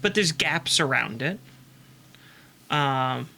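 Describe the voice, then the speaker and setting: Bright-voiced man narrating a tabletop game. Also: medium pitch, animated, vocal fry. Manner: descriptive, halting with fillers. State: sniffly from allergies. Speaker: game master. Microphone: desk microphone.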